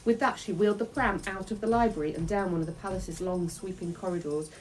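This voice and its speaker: A woman reading a children's book aloud in an expressive storytelling style. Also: fairly low pitch, fast talker, faint music behind, rough recording. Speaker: storybook reader.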